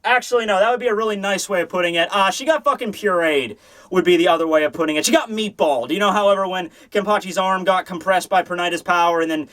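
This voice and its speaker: A man with a high voice hosts a casual comedic review. He rambles excitably, swears freely and keeps dropping sarcastic asides into his commentary. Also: fast, low-quality recording. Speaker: host of a manga review show.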